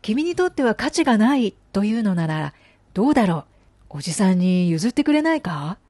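A woman's voice: medium pitch, very animated, fairly quick, dark tone, no echo. Woman voicing a mild, worried young dad, close and dry. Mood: friendly, coaxing. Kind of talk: animated folk-tale storytelling for children.

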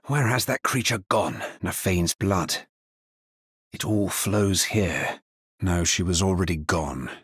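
World-weary necromancer, low-pitched, slow delivery, solemn, fantasy game dialogue. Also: male voice, fairly bright tone, breathy edge, dry recording, studio quality, heavily acted. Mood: stern, urgent. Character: grave, world-weary male necromancer hero. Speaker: video game voice actor.

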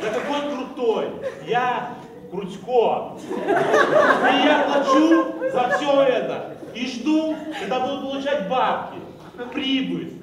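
A teenage boy plays a brash, boastful young sponsor who talks in a cocky, bossy way with a fairly high, unhurried voice. He sounds far off in a roomy, echoing school hall with noise behind. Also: very animated, a loud crowd, exaggerated voice. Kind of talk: school stage play acting. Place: school auditorium stage. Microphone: far camera. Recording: echoey hall from far off, noisy.

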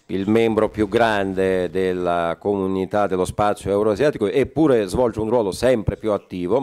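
A man interpreting a forum speech live, halting often with fillers and correcting himself mid-phrase. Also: lowish voice, darkish tone. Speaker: conference interpreter.

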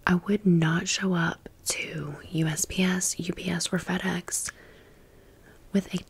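An American woman hosting an ASMR storytime in a breathy whisper, with a casual, sassy, slangy way of talking. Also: on the low side, steady tone, measured pace. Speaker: ASMR video host.

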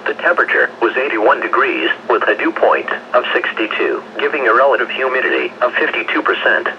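A man's synthetic, measured, impersonal voice reading a scripted forecast as a weather radio announcer. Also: mid-range voice. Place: radio speaker picked up by camera in room. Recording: dull lo-fi weather radio, noisy.

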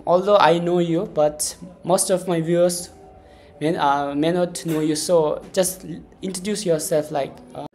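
A man in his twenties hosting a casual interview in a halting, wordy way, often repeating himself. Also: on the high side, fairly even intonation, a little mellow, soft background music. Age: 20s. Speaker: podcast host, interviewer.

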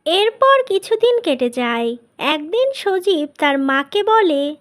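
A woman's voice: extremely high pitch, sing-song, takes it slowly, faint music behind. A woman narrating a cartoon tale as a scripted storyteller.

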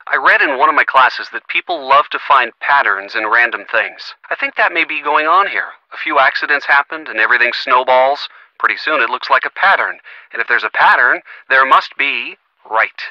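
An actor playing an earnest, gently sardonic young American boyfriend in a video game, talking conversationally and expressively with a youthful voice. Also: male voice, mid-range voice, lively intonation, normal speed, no echo, highs cut off, natural voice. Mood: curious, thoughtful. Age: young adult. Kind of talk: video game phone-call dialogue.

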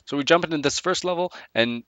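A man's voice: fairly high pitch, fast, background noise. A man with a cold, sniffling a bit, giving rambling, playful commentary while he plays a video game. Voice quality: rambling, self-talking, playful jokes at the game. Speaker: let's play host. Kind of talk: casual gameplay commentary. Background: loud game music.

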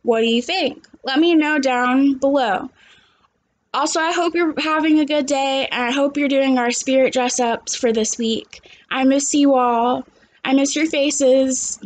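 High-pitched young woman reading to kids. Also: fairly even intonation, measured pace. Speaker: teacher reading a book aloud to students. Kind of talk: read-aloud storytelling with chat to children. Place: small living room at home, indoors.

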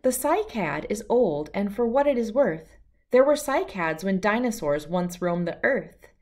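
Slow-paced woman reading a storybook. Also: medium pitch, very animated, a little mellow. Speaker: children's book reader. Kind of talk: read-aloud of a rhyming children's picture book.

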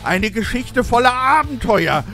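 A man's voice: very high-pitched, sing-song, measured pace, sharp tone, full character voice. A man playing a hyped muscle-bound gym bro giving a grandiose, theatrical intro. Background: guitar music.

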